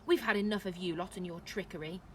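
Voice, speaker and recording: A woman's voice: lowish voice, quick pace, fairly bright tone, slight background noise, close to an everyday voice. Woman reading as a stern, threatening village man. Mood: stern threat.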